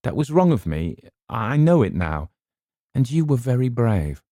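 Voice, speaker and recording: A man's voice: mid-range voice, big pitch swings, a little slow, mellow, dry recording, studio quality, voice pushed up. A man voicing an earnest, fragile young woman who stammers and pleads, in an audiobook reading.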